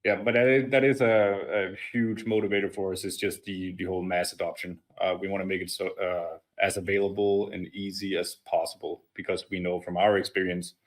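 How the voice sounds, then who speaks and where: A man with a Danish accent, Danish his first language, answering interview questions in a relaxed way over a remote call. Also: low voice, fairly quick, hardly any pauses. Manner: relaxed, laughs at own slips. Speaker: company founder guest.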